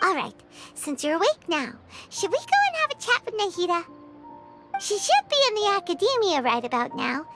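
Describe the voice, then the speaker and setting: A woman voicing a tiny, cheeky, childlike fairy companion in a video game, with a squeaky voice and perky, chatty manner. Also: sing-song, normal speed. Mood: teasing, chirpy. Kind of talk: animated video game voice acting. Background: game music.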